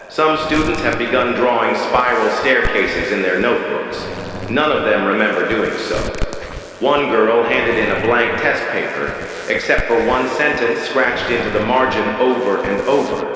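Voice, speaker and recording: Cavernous reverb, noisy recording, man playing a grave official announcer, measured ominous delivery, horror public safety broadcast. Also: mid-range voice, bright, forceful tone.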